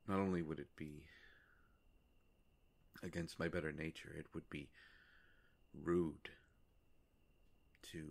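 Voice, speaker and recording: A man playing a courteous, world-weary immortal vampire in an intimate roleplay monologue, musing politely and haltingly with long pauses. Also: a bass voice, creaky, exaggerated voice. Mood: gently reassuring.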